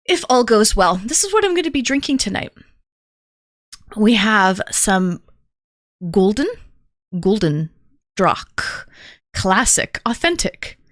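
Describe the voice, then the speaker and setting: A woman's voice: mid-range voice, big pitch swings, slow pace, pauses often, dry recording, clean studio sound. A talkative woman streaming live, chatting casually and greeting viewers one by one in an upbeat way. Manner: talkative, calls herself a yapper.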